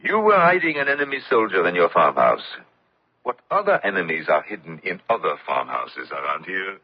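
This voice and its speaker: An actor playing a sarcastic, commanding French collaborationist officer who menaces prisoners in a radio drama. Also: male voice, low-pitched, sing-song, normal speed, slightly rough, full character voice. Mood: stern, impatient. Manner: commanding, sneering. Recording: dull lo-fi old radio recording.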